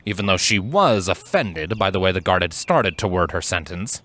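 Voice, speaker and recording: Low-voiced man narrating a story. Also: lively intonation, normal speed, a bit bright. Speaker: fan fiction narrator and voice actor.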